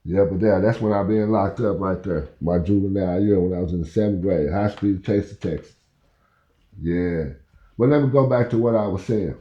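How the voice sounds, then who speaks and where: Man in his 50s, rambling and chatty, low mellow voice, echoey room sound kinda dull. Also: normal speed. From Oklahoma. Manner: rambling, repeats "you know where I'm coming from". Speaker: podcast host. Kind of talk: casual storytelling monologue.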